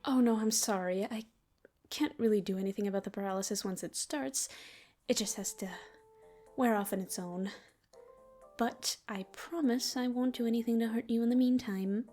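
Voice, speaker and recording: A woman voicing a teasing yet tender female demon in an intimate roleplay ASMR style, soft and breathy, often near a whisper. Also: on the high side, normal speed, close to an everyday voice. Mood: gentle, soothing. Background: music.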